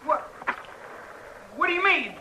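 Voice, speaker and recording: A man's voice: extremely high pitch, big pitch swings, unhurried, noisy background, heavily acted. A male actor playing a brash, stubborn teenage would-be gunfighter with a rural Western drawl in an old-time radio western. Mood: frightened defiance. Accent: rural American Western drawl. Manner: defiant, unschooled country speech. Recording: vintage radio broadcast.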